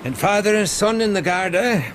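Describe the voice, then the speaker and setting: Radio drama: Irish police inspector, talkative, joking, swooping intonation, clear ambient noise. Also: male voice, sits high, expressive, normal speed, a bit bright. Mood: chatty, welcoming. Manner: jovial, wry, lilting and talkative. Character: genial, chatty Irish police inspector, fond of a joke. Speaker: actor.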